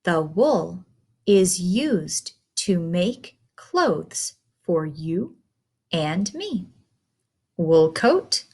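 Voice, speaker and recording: Woman, children's read-aloud, very slow pace, lively pitch swings, teacherly, deliberate, questioning the listener. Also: slightly rough. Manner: simple, deliberate, instructive delivery with questions to the listener. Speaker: teacher reading a picture book to young children.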